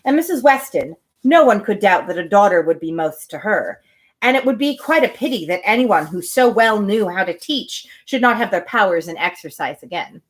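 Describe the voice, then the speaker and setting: A woman's voice: mid-range voice, animated, normal speed, poor audio. A woman reading a classic novel aloud to a listener, breaking off for sarcastic, joking comments. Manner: wry, sarcastic interjections between passages. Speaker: reader and commentator.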